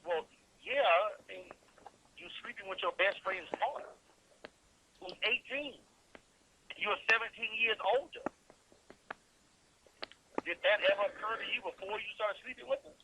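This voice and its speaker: A man with a rather high, piercingly bright voice hosts a radio call-in. He presses with pointed, incredulous questions and repeats points back emphatically. Faint noise sits on the line. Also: unhurried, pauses often, rough recording. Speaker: radio show host. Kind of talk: probing call-in interview.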